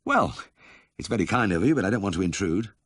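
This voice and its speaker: A man's voice: fairly low pitch, very animated, quick pace, fairly bright tone, breathy edge, no echo, studio quality, pitched above the performer's own voice, full character voice. A man voicing a kindly, careful country vet who explains things politely in a story reading. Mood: polite, sympathetic. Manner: courteous, explanatory.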